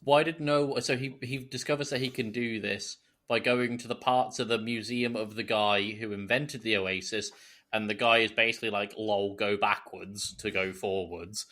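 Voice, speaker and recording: Man, lowish voice, podcast co-host, casual chat, nitpicking, teasing. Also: fairly even intonation, normal speed, bright, forceful tone. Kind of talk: casual chat, jokey questioning.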